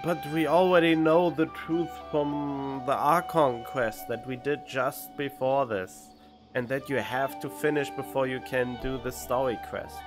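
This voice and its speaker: A man's voice: mid-range voice, fairly even intonation, unhurried. A man streaming a game with casual playthrough commentary into a studio microphone. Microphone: studio condenser microphone. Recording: game music behind the voice.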